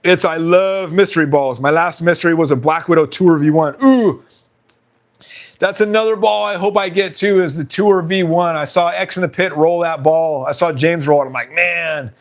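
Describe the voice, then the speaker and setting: A man with a fairly high voice hosts a relaxed live stream. He talks casually and reads out viewer comments, laughing often. His voice sounds slightly hoarse.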